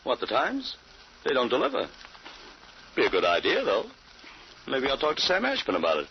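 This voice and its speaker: A man's voice: medium pitch, huge pitch swings, normal speed. An actor playing a genial middle-aged small-town father in an old-time radio drama. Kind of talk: 1940s radio acting. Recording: muffled, hissy old radio recording.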